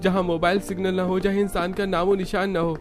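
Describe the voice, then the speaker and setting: A man's voice: sits high, level tone, brisk, close to an everyday voice. Male actor as earnest young fugitive: protective, urgent, melodramatic audio-story delivery over faint music. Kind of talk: dramatized Hindi audio story acting.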